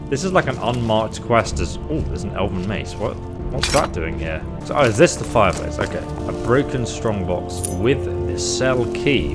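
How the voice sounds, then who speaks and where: Wry, low-pitched man with lively delivery. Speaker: video game YouTuber. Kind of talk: casual gameplay commentary. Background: game music.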